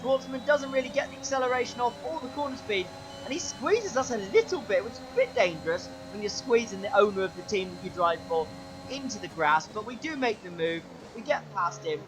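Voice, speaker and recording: A man commentating his own racing game run, excitable play-by-play with a sore, strained throat. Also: a little fast, background noise. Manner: excitable play-by-play, pitch jumps when overtaking. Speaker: gaming channel host.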